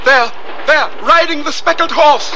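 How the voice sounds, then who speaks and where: A man playing an excitable young cowboy in a radio drama, shouting urgently and repeating himself with fervent devotion. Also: extremely high pitch, unhurried. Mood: urgent calling. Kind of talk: 1970s radio drama acting. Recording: very noisy radio drama, far from mic.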